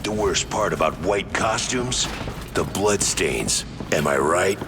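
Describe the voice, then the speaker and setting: Grim vigilante, game character, creaky low growl, sardonic, menacing, loud music behind. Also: male voice, lively intonation, takes it slowly, exaggerated voice. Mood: darkly amused. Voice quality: gravelly. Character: grim, sardonic, violent male vigilante antihero.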